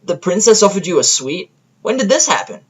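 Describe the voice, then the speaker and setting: A man puts on a young cartoon pegasus mare for an audio drama. The voice sits high and pushes forward with brash, eager energy. Lines come out as lively exclamations. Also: fairly quick, slightly rough, heavily acted. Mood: incredulous.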